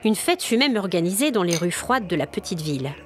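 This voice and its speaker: A woman's voice: fairly low pitch, sing-song, a little fast. A woman storyteller reading scripted narration of a spooky folk tale.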